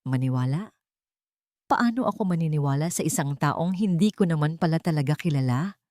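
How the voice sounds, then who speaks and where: A woman's voice: low voice, lively intonation, a little fast, a little mellow, no echo, clean studio sound. A woman voicing a heartbroken young woman who bitterly accuses her lover in an audio love story. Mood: bitter, angry. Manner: accusing, wounded, emotional.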